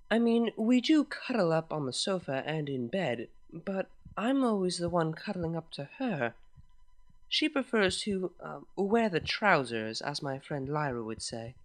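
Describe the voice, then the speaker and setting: Lively, expressive male story reader. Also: a little high, no room sound. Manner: expressive, lilting storyteller delivery. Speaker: fanfiction reader. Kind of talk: first-person story reading.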